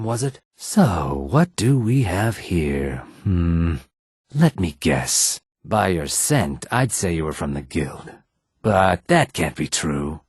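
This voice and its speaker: A man voicing a shady, self-serving lizard-man merchant in a video game, guarded and smooth-talking, with a raspy voice. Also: deep voice, dry recording, clean studio sound, full character voice. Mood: sly, coy. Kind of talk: scripted video game character dialogue.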